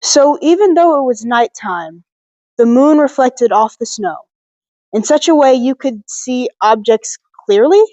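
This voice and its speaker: A woman's voice: high-pitched, expressive, a little slow, a little mellow, no echo. A woman playing a formal, inquisitive news interviewer in a drama, prompting and impressed, in muffled sound. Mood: amazed. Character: inquisitive, amazed news interviewer questioning a family.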